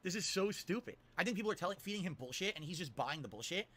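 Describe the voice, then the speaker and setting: A man's voice: high voice, lively intonation, fast, sharp tone. A man streaming, giving indignant commentary with an incredulous, profane manner. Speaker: streamer responding to a critic. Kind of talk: ranting commentary.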